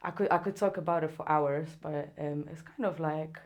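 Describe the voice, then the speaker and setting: A young woman from Poland speaks English with a Polish accent and a notably low voice. She talks in a laid-back, unhurried way. She laughs easily and lets her sentences trail off. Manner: relaxed, laughs often, trails off mid-sentence. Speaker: Muay Thai fighter, guest. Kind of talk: casual interview chat. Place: studio.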